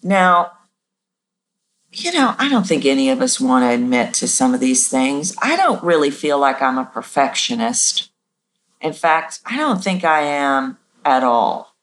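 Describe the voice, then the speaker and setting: A woman voice coach answering listener questions on her podcast, candid and self-deprecating, jumping her voice up and down to demonstrate pitches. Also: big pitch swings, unhurried.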